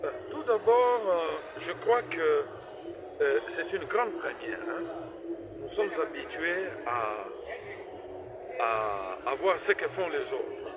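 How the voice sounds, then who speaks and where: A man's voice: on the high side, lively intonation, takes it slowly. A middle-aged man from Congo, a professor and inventor, answering interview questions with many fillers and stuttered repeats. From Democratic Republic of the Congo. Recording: noisy event recording with vehicle sounds.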